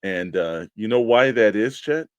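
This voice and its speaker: A man's voice: on the low side, lively intonation, measured pace, smooth, no room sound, highs cut off. A man co-hosting a casual talk show, chatting about toy collecting.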